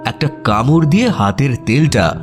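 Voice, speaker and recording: An actor playing a detective's good-humoured friend, narrating a radio drama in an expressive, wry, conversational way. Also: male voice, medium pitch, normal speed, faint music behind, close to an everyday voice.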